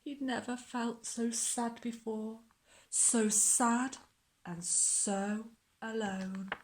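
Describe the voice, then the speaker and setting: A woman reading a children's story aloud like a teacher, with expressive, dramatic storytelling. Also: a little high, very slow, a little hiss or hum, poor audio.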